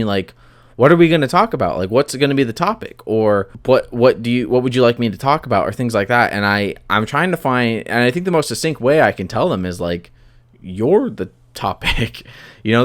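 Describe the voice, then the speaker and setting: A man hosting a podcast, talking off the cuff in a hesitant, rambling way and often repeating himself as he restarts phrases. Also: fairly low pitch. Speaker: podcast host. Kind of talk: unscripted solo podcast monologue.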